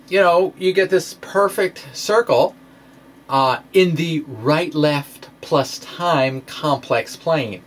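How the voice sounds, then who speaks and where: A man giving an informal explanatory lecture in a halting, conversational way, as if thinking aloud. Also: fairly high pitch. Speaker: presenter of a physics blog. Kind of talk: informal explanatory lecture, dramatic reading of a blog post.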